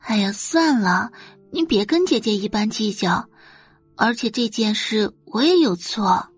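A woman's voice: medium pitch, lively intonation, measured pace, husky, faint music behind. A woman voicing a meek-sounding, scheming young sister in an audio novel, pleading and placating sweetly. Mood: sighing apology.

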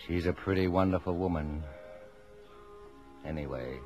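A low male voice as a proud, middle-aged family man in an old radio play. He speaks formally and with dry understatement, with fondness under the reserve and faint music around him. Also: a little mellow. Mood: fond, dryly proud. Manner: measured, formal, dryly understated. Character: dry, proud, fond father of twelve children. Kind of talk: 1950s radio drama acting.

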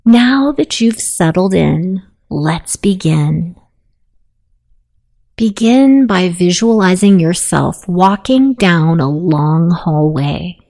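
A woman leading a guided meditation in a soothing, hushed manner with long pauses. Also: fairly low pitch, expressive, vocal fry. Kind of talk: guided meditation, self-hypnosis narration.

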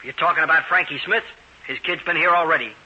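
An actor playing a cynical, hard-nosed city bail bond broker in a radio detective drama. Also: male voice, a little high, fast, husky. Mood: dismissive. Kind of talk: old-time radio acting. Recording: dull, hissy old radio recording.

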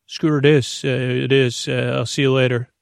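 A man voicing a polite, patient imaginary bunny sidekick on a bedtime podcast, explaining gently and hesitantly in a creaky voice. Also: mid-range voice, level tone, normal speed, dry recording, studio quality, close to an everyday voice.

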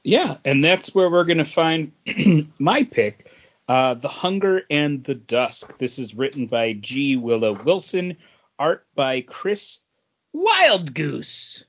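A man talks through a review as a podcast co-host. His pace is very slow and halting, and he often clears his throat between phrases. The sound is a little dull and lo-fi. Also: fairly high pitch, very animated. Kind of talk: casual review talk.

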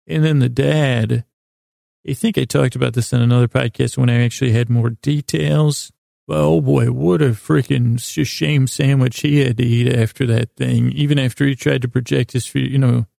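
A man hosting a sleep podcast, telling stories in a casual, meandering way with a gravelly voice, often halting and trailing off mid-thought. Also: mid-range voice, fairly even intonation, dark tone, no room sound, clean studio sound. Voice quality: gravelly, creaky voice. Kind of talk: rambling casual bedtime storytelling monologue.

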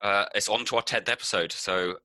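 A man's voice: fairly low pitch, steady tone, fairly quick, bright, forceful tone, dry recording. A man co-hosting a casual music podcast, rambling and swearing with lots of ums. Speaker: podcast co-host.

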